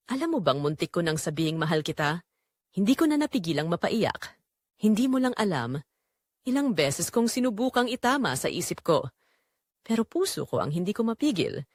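A woman's voice: on the low side, no echo, studio quality. A woman narrating a scripted first-person romantic drama in an emotive, confessional manner. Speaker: storyteller narrating in character.

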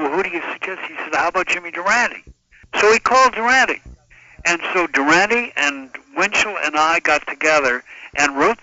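A man's voice: on the high side, bright, forceful tone, lo-fi sound. An older American man casually recalling his career as an interviewee. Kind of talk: casual recollection.

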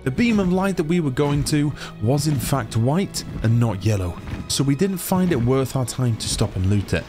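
A young man with a cold and a slightly nasal, blocked-nose voice, chatting excitably through a game playthrough. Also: medium pitch, normal speed, over music. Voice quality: a bit nasal. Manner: chatty, excitable, rambling asides. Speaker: gaming YouTuber. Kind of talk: casual let's-play commentary.

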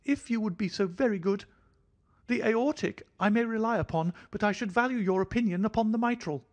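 A man doing a thin, high, fidgety little man. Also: brisk, close to an everyday voice. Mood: excited. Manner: fidgety, effusive, fretful. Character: jittery, fussy little thirtyish man, anxious hypochondriac.

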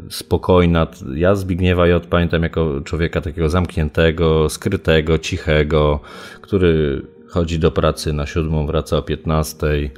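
A man speaking off the cuff as a local journalist in an interview, with halting, hesitant phrasing. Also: a bass voice, darkish tone. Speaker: local journalist giving recollections. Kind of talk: unscripted interview recollection. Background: background music.

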